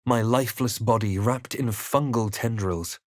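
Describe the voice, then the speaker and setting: Man acting a measured fantasy adventurer hero, close dry sound. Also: mid-range voice, dry recording, lightly acted. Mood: hushed, grave. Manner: measured, theatrical storytelling delivery. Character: young male fantasy adventurer hero, earnest and guarded. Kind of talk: dramatic first-person narration and reactions in a fantasy game.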